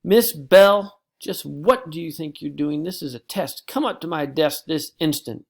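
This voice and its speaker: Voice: medium pitch, measured pace, gravelly, a little mellow. A reader voicing a strict female schoolteacher in an audiobook, scolding in clipped phrases. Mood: stern. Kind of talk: audiobook character voice.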